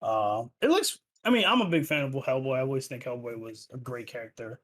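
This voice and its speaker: A man's voice: mid-range voice, lively intonation, fairly quick, fairly bright tone. A man hosting a casual gaming podcast, talking loosely with lots of fillers, restarts and swearing. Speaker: podcast host.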